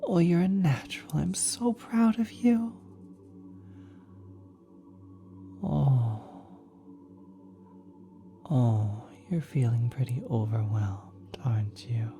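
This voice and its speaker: Casting: kind, ancient celestial caretaker acted by a woman, very mellow, whispery and tender, ASMR style over faint ambient music. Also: rumbling low, animated, slow pace, full character voice. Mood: delighted, proud. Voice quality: soft, breathy, often whispered. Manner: gentle, reassuring, hesitant, coaxing. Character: kind, nurturing ethereal celestial being, ancient and motherly. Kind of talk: ASMR roleplay, soft whispering to listener. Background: spacey ambient music.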